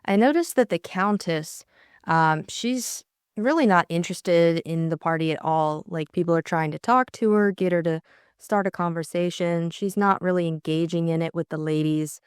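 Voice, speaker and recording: A woman's voice: lowish voice, normal speed, clean tone, no echo, clean studio sound. A young adult woman co-hosting a casual discussion of a classic novel. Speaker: audiobook co-host.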